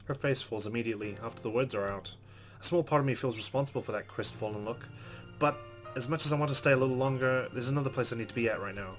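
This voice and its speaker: A man's voice: mid-range voice, quick pace, faint music behind. A man hosting a let's play, reading visual novel narration aloud with casual asides.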